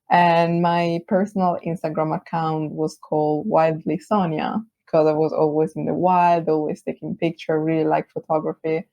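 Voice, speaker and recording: A woman with an Italian accent whose first language is Italian, chatting as an interview guest, friendly and quick to laugh. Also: deep voice, gravelly, warm tone, dry recording. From Italy. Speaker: guest, brand designer. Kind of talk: casual interview chat.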